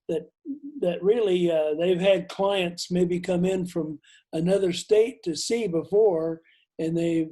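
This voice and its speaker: Man, video call, halting, restarts, mellow, slightly high. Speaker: photographer. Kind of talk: casual discussion on a video call.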